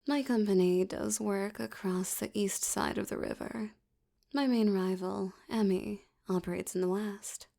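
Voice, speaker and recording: A seductive female mafia boss, acted by a woman in an ASMR audio drama, slow and teasing with a mellow, creaky voice. She flirts obsessively and threatens softly, often sinking into a breathy whisper. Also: medium pitch, fairly even intonation. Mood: cool, businesslike.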